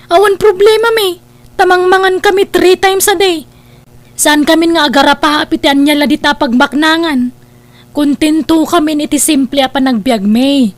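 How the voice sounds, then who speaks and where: A woman's voice: sits high, dry recording, natural voice. A woman playing a complacent married older sister in a family radio drama, dismissive and preachy, scornful of wealth. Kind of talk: Ilocano radio-style family drama acting.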